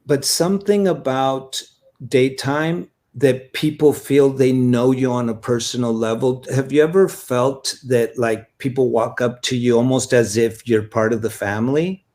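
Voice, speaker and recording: A man's voice: medium pitch, fairly even intonation, a little slow, a little mellow. A man co-hosting a casual chat, curious and asking questions, stumbling over starts.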